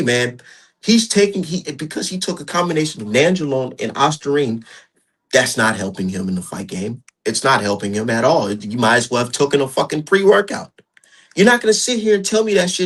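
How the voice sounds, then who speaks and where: A man in his thirties hosting a casual boxing talk show, ranting in an animated, repetitive way. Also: on the high side, breathy edge. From Minnesota. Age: 30s. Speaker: podcast host.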